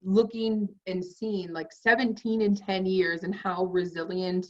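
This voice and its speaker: A woman's voice: medium pitch, steady tone, measured pace, a little mellow. A woman hosting an interview, asking encouraging, rambling questions with many fillers. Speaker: podcast host. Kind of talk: casual interview. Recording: distant, muffled mic.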